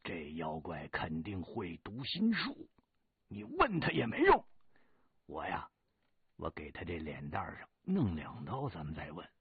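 A man voicing a brash, violent fat male tomb raider who talks loud and boastful. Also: deep voice, wildly swooping pitch, unhurried, slightly rough, no echo, exaggerated voice. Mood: gloating. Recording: tinny lo-fi recording.

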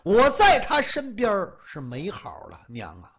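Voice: medium pitch, huge pitch swings, unhurried, dull audio. A storyteller voicing a drunk, aggrieved husband who stammers and complains at length. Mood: aggrieved whining. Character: drunk aggrieved husband pleading his case.

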